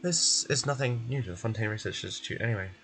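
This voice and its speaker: A man's voice: medium pitch, fast, fairly bright tone, lightly acted. A man voicing a chatty game research technician who explains things and grumbles but helps. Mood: explaining, grumbling. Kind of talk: game character voice acting.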